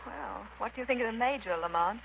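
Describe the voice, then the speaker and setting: A woman's voice: on the high side, animated, fast talker, vocal fry. An actress playing a polite, inquisitive, kindly society woman in a 1930s radio drama. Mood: concerned curiosity. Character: bright, curious, kindly society woman companion to sleuth. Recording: muffled, hissy 1930s radio broadcast.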